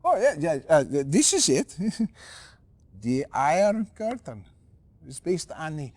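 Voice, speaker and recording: An actor playing an excitable, eccentric male professor in a video game cutscene, halting and giggly with enthusiasm. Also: a little high, no room sound, full character voice. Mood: giddy, absorbed.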